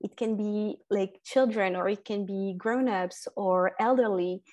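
A woman, talking as a guest in a casual interview, halting with many restarts and fillers and laughing often. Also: mid-range voice, steady tone, a little mellow. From France. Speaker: guest, food entrepreneur.

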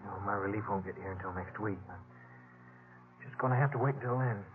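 A man's voice: lowish voice, a little fast, soft background music, close to an everyday voice. A man playing a brave, protective frontier hero in a 1950s radio drama, earnest and commanding. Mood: relieved, tender. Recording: tinny, noisy old radio recording.

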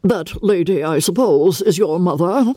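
A woman as imperious older noblewoman, stiff, condescending, high swooping pitch, breathy-husky edge, classic novel reading. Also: lively intonation, measured pace, mellow, no room sound, clean studio sound. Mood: haughty, disdainful.

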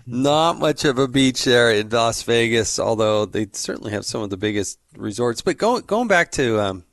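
Halting male interviewer, dry sound. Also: medium pitch, expressive, no room sound. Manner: halting, repeats words, warm questions. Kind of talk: conversational interview.

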